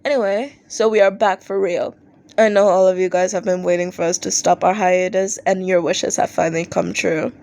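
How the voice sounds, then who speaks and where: A woman reading fan fiction aloud as an expressive amateur audiobook narrator, acting out posts in an animated way. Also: fairly low pitch, moderate pace, creaky.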